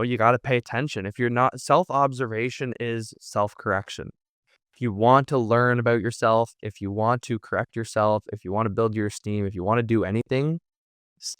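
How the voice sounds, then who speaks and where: A man's voice: mid-range voice, a bit bright, smooth, no echo, clean studio sound. A man giving reflective interview answers in an earnest conversational way, often repeating and rephrasing his points and leaning on "you know". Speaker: podcast guest, content creator.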